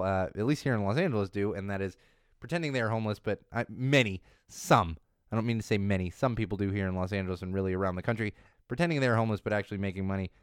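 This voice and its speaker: A man hosting a casual news podcast in a studio, rambling and correcting himself as he goes, his voice a bit hoarse from losing it. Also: on the low side, dry recording. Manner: chatty, rambling, self-correcting. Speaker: podcast host.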